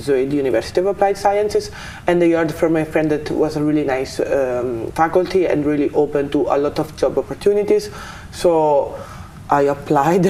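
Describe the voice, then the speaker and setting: A woman, a student describing her path with halting restarts. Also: deep voice, dark tone, slight background noise, low-quality recording. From Italy. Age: 20s. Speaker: student panelist. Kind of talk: casual panel talk.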